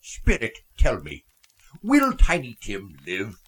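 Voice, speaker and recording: A man plays a remorseful old miser in a radio drama. His husky voice swings widely as he slowly implores, heavy with regret. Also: medium pitch, huge pitch swings, a bit bright, full character voice. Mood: anxious, pleading. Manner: slow, imploring. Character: remorseful old miser pleading for a child's life. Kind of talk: radio play acting.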